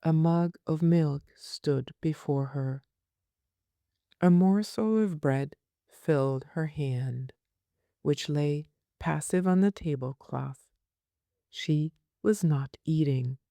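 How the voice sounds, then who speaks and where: A woman reading a literary novel aloud as an audiobook narrator, in a measured, deliberate manner. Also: deep voice, creaky, warm tone, no echo. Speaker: audiobook reader.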